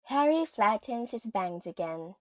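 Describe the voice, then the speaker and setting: A woman's voice: medium pitch, sing-song, a little slow, dry recording, low-quality recording. A woman giving vivid, dramatic scripted narration for an audio drama. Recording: music under the voice.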